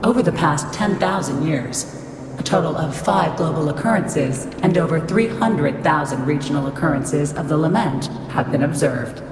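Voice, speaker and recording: A woman's voice: sits low, lively intonation, moderate pace, background noise. A woman voicing a cold, impersonal computer system in a video game, speaking formally and with detachment. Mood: unyielding, cold. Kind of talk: scripted game voice acting. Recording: echoing hall reverb, music behind.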